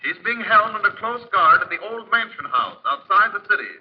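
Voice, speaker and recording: An actor playing a formal, measured radio news announcer in 1940s style. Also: male voice, high-pitched, expressive. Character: formal radio newsreader giving a police bulletin. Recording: dull, lo-fi old radio recording.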